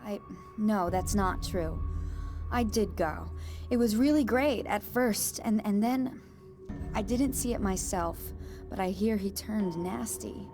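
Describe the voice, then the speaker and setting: A woman's voice: medium pitch, takes it slowly, darkish tone, close to an everyday voice. An actress playing a nervous young woman who works at a motel, hesitant and stammering, in a video game. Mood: uneasy. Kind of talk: video game cutscene acting. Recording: game audio over music.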